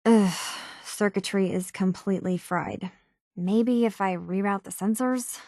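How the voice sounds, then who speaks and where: A woman's voice: medium pitch, measured pace, darkish tone, no room sound, studio quality, close to an everyday voice. An actress voicing an earnest, inquisitive young woman synth in a video game, speaking in a hesitant, conversational way. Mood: frustrated, muttering. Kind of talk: video game character dialogue.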